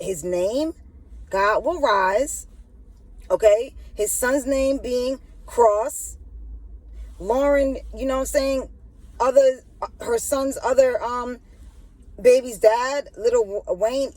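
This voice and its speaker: A woman's voice: a little high, a little mellow, no room sound, low-quality recording. A woman hosting a spiritual monologue who stutters and keeps asking the listener "you know what I'm saying". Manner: stuttering, repeats filler phrases. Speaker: channel host.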